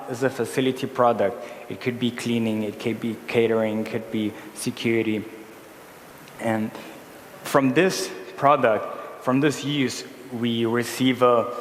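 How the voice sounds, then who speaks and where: A man from Brazil giving a conference presentation, walking through slides and often correcting himself mid-sentence. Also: medium pitch. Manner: self-correcting, explaining slides. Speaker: conference speaker. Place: large conference hall. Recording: echoey hall with faint room noise.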